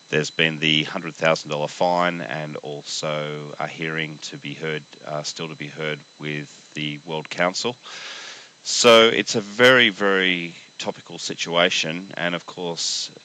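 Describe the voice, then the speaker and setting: This is a man with a very deep voice, made croaky by a flu, hosting his own podcast. His tone stays bright and forceful, with a firm edge. He delivers an earnest, opinionated rant straight to camera, often stopping to restart his thoughts. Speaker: podcast host.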